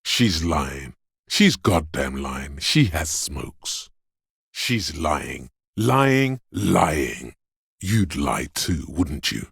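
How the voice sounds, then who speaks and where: An actor voicing a video game character, a paranoid, aggressive inner voice of fight-or-flight instinct, speaking in an ominous, raspy, urging way. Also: male voice, very animated, a bit bright, no room sound, studio quality, exaggerated voice. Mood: aggressive, goading. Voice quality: very deep, gravelly, raspy. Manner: slow, deliberate, ominous urging.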